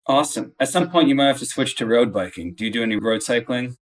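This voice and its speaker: A man's voice: mid-range voice, fast talker, distant mic. A man hosting a podcast in a casual, chummy interview style. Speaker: podcast host.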